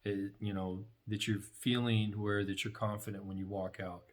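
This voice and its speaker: A man's voice: fairly low pitch, flat delivery, normal speed. A man chatting casually and supportively as a co-speaker, encouraging and rephrasing his point as he goes. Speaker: vlogger's husband as co-speaker.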